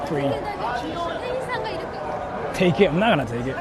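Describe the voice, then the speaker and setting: A man's voice: fairly high pitch, animated, measured pace, over a noisy crowd. A man working as a livestreamer, chatting casually with jokey slang as he interviews people outdoors. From Japan. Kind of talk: casual street livestream chat. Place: outdoors on a Tokyo street. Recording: noisy crowded city street.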